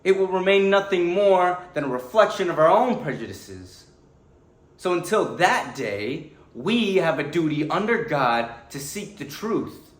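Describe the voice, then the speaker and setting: An actor playing an earnest, anguished defense lawyer pleading to a jury, delivering a deliberate, emotional courtroom monologue with weighted pauses. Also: male voice, on the high side, lively intonation. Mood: impassioned pleading. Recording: echoey room with faint background noise.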